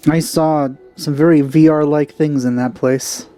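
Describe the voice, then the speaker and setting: A man's voice: a little high, fairly even intonation, a little slow, dark tone. A man giving casual let's play commentary, with dry, grumbling asides. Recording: game music behind the voice.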